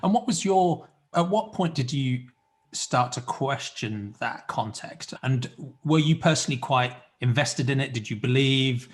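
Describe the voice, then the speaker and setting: A man's voice: medium pitch, expressive, a little slow. Guy hosting a podcast, asks long winding questions, encouraging tone. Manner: encouraging, rephrases questions at length. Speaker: podcast host. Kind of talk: interview questions.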